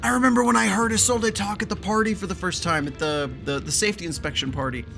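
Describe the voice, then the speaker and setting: A young man casually reacting to a game as he plays, chatty and excitable, with the odd swear word. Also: sits high, fast talker, creaky, faint music behind.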